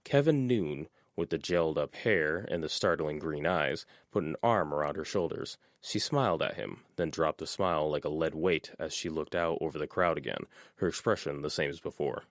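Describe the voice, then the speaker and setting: Male audiobook narrator, very deep voice, lively expressive reading, dry close recording. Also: moderate pace, hardly any pauses, dry recording. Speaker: audiobook reader.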